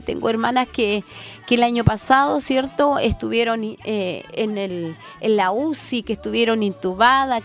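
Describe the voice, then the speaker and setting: Woman radio preacher, fervent and repetitive, somewhat high voice, just over a cold, soft music under her. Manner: fervent, repetitive, with filler "eh" and "¿cierto?". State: recovering from a cold.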